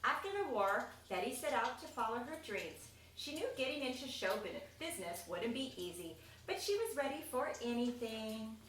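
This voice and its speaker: Female storybook reader, higher-pitched and expressive, casual asides, recorded at a distance from the mic. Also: normal speed, hardly any pauses. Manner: expressive, chatty, pointing out pictures. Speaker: reader of a children's picture book. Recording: echoey room, distant mic, faint noise.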